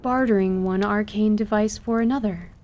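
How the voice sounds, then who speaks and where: Fantasy radio play casting: woman as learned half-elf lady, mid-range voice, courteous, composed, articulate, faint rumble. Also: fairly even intonation, normal speed, a little mellow, lo-fi sound, lightly acted. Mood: reassuring, informative. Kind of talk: audio drama acting of a tabletop campaign.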